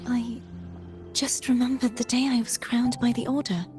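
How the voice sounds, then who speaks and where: Game role: solemn young crowned maiden female actor, fairly high, soft and formal, music behind. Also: level tone, moderate pace, natural voice. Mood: solemn, earnest. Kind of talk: video game voice acting.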